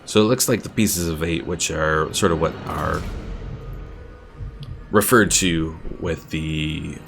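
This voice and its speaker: A man's voice: very deep voice, slow, creaky. A man streaming a video game, giving casual, laid-back, chatty commentary as he plays. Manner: laid-back, chatty, reading chat aloud. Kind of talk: casual live gameplay commentary. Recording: game music faintly behind. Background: video game music.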